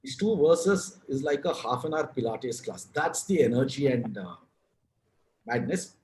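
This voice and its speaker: A man's voice: mid-range voice, lively intonation, a little slow, darkish tone, slight background noise. A man with a South Indian accent, a guest on a video-call interview, talking in a frank, rambling way with restarts and casual swearing. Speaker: guest, advertising writer and author.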